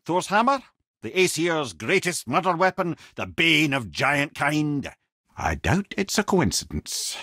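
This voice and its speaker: Wise, talkative old Scottish sage, game character. Wry, eloquent, widely varied pitch, dry studio sound. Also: male voice, sing-song, measured pace, sharp tone, no room sound, full character voice. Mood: wry, knowing. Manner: eloquent, wry storyteller with colourful old-fashioned turns of phrase. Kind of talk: video game character lines, storytelling and banter.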